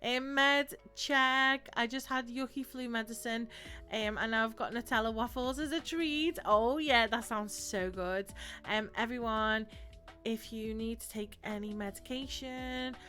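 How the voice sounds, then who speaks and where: A woman's voice: a little high, measured pace, pushed and bright, faint music behind. A woman hosting a live stream, chatting casually and laughing often, with lots of fillers. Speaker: streamer host.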